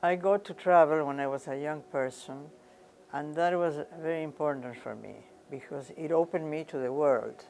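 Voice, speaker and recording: An older woman speaks in a gravelly, creaky voice. She answers interview questions about her painting in an unhurried way, pausing and repeating phrases as she searches for the right word. Faint music plays softly behind her. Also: deep voice, rough recording. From Argentina.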